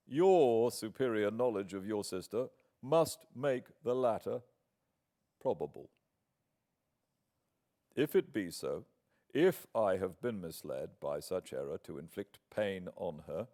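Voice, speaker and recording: A British man reading in the part of a proud, wounded young gentleman in a classic novel, formal, measured and emphatic. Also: medium pitch. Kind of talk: dramatic audiobook reading.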